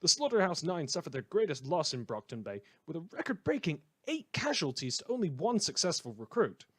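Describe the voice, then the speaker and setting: A man's voice: on the high side, sing-song, a little fast, breathy edge. A man playing a chatty, blithely amoral small-town radio host who rambles and corrects himself through a satirical news broadcast. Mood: enthusiastic newscasting. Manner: rambling, cheerfully flippant, self-correcting.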